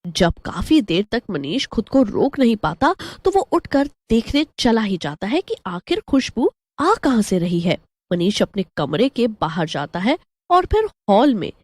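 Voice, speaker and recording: Woman storyteller, animated pitch. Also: no echo. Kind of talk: scripted story narration.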